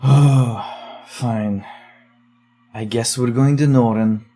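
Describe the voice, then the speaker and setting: A man's voice: medium pitch, fairly even intonation, very slow, dark tone. A man playing a wary young investigator. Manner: reluctant, wary, exasperated in banter. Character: young man digging through eerie case recordings. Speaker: investigator reading case files. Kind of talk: audio drama narration and dialogue.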